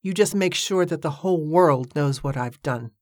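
A woman voicing a determined widowed mother who speaks in a steady, formal way. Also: deep voice, moderate pace, no room sound, clean studio sound. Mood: resolute.

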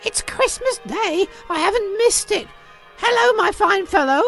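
A woman's voice: high-pitched, sing-song, measured pace, vocal fry, soft background music, pitched above the performer's own voice, full character voice. A woman voicing an elderly male reformed miser in an audiobook, giddy with joy and earnest, with exclamatory, old-fashioned phrasing. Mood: giddy, excited. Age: older.

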